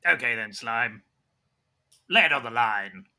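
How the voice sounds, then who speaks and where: A man's voice: mid-range voice, lively intonation, a little slow, pushed and bright, heavily acted. A man playing a bold, commanding hero confronting a villain in a tabletop audio game. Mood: defiant.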